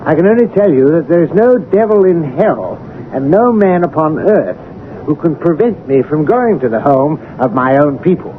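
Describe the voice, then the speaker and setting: Young baronet for radio drama: highish, animated, blunt, excitable, easily indignant. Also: male voice, moderate pace. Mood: defiant. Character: indignant, blunt male young baronet, newly come into inheritance. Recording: tinny old radio recording, faint noise.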